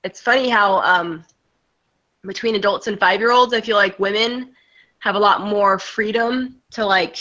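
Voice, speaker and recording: Bright-voiced young American woman. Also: medium pitch, a little slow. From United States. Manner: chatty, frequent "you know" and "like", laughs easily. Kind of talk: casual group discussion in an online meeting. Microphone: video call. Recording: muffled video call audio.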